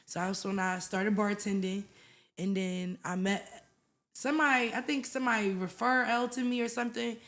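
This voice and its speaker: This is a young woman from West Philadelphia with a clear Philly accent. She sits as a guest on a podcast and tells her story in casual, animated talk. She fills it with "like" and acts out what other people said. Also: medium pitch, normal speed. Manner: animated, acts out voices in her stories, lots of "like".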